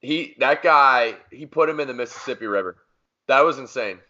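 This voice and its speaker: A man's voice: mid-range voice, normal speed, sharp tone, muffled recording. A man co-hosting a casual sports podcast, arguing his points emphatically and repeating them for force. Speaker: podcast co-host.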